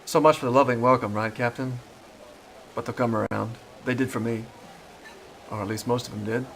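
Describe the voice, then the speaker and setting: Mild, polite rebel private. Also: male voice, mid-range voice, fairly even intonation, brisk, creaky, a bit bright, slight background noise, poor audio. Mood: wry, friendly. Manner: polite, hesitant, deferential. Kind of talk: scripted game cutscene acting.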